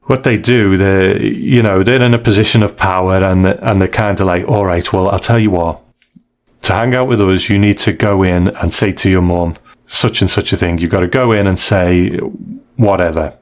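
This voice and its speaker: A man with a low voice chats as a podcast co-host. He recaps a story casually, rambling and restarting as he feels his way through it. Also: steady tone, normal speed.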